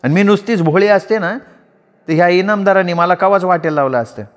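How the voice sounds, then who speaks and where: A man's voice: high voice, a little fast, voice pushed up, natural voice. A man voicing a bold, cunning young woman who speaks frankly and with assurance.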